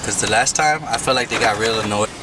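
A man's voice: fairly low pitch, fast talker. Young American man, distant in a noisy car. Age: young adult. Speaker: prankster vlogger. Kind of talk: casual prank video chat. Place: inside a car at a drive-thru. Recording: distant in-car camera, loud vehicle noise.

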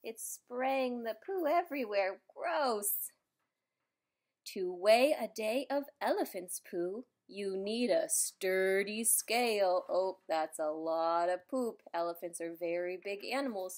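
A woman reading a children's picture book aloud, adding playful, expressive asides for young listeners. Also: medium pitch, takes it slowly. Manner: playful, expressive, simple child-directed delivery with exclamations. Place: indoors in a room at home.

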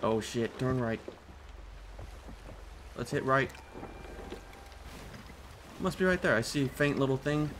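A man with a put-on British accent, giving casual, excitable commentary over his own gameplay and swearing as he reacts. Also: medium pitch, slow, long pauses, noisy background. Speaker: gaming channel host.

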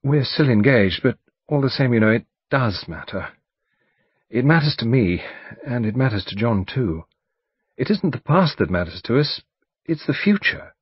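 A man's voice: mid-range voice, very animated, moderate pace, slightly rough, no echo. A man reading an audiobook, voicing an earnest, determined young woman who speaks insistently. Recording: dull lo-fi recording.

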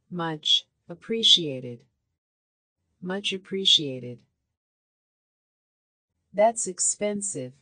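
Woman, very slow, careful enunciation, repeated learner phrases, dry close sound. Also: fairly low pitch, fairly bright tone, no room sound. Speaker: language lesson voice.

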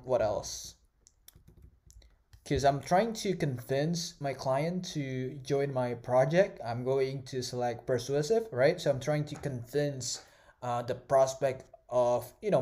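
A man whose first language is Indonesian, teaching a screen-recorded course in a relaxed way with many fillers and "right?" tags. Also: medium pitch. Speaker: course instructor. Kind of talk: casual screen-recorded tutorial. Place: at a computer, voice-over of screen recording.

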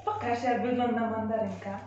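Young female children's teacher, highish lively voice, explaining simply in a reverberant room. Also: moderate pace, lo-fi sound. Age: young adult. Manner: simple, explanatory, prompting with questions. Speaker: presenter of a children's Bible lesson. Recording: very echoey room, faint background music.

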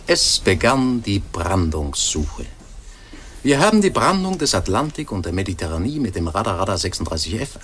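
A man's voice: on the low side, slightly rough. An actor playing a jovial, impatient male newsroom boss in a German radio play. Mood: solemn reporting.

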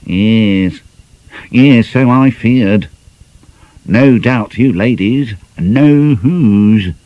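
A man voicing a suave, insinuating gentleman in an audiobook, pausing pointedly as he slyly fishes for gossip. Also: fairly low pitch, no room sound, dull audio. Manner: suave, hesitant, deliberately pointed.

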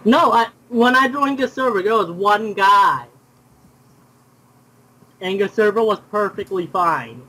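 A man's voice: high voice, measured pace, pauses often, pushed and bright, low-quality recording. A young man chatting casually during an online game, often repeating himself and exclaiming. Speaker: gamer.

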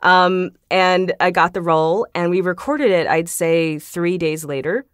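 Chatty woman guest on a podcast, lowish voice, very dry sound, laughs easily. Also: a little slow, clean tone, no echo. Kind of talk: casual conversational interview talk.

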